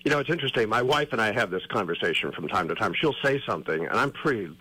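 A man with a husky, sharp-edged voice rambles thoughtfully through a casual radio chat, leaning on "you know" as he goes. He sounds like a chatty co-host working out his ideas. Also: on the low side, lively intonation, very fast, no echo, low-quality recording. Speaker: co-host, newsletter writer. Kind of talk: casual conversation.

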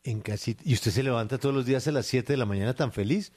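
A man's voice: medium pitch, quick pace, a little mellow. A man with a Colombian accent hosting a live news radio show and interviewing guests.

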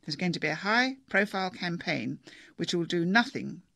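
A man voicing a self-assured male politician who speaks in a measured, insistent way. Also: high-pitched, lively intonation, creaky, sharp tone, dry recording, studio quality, full character voice.

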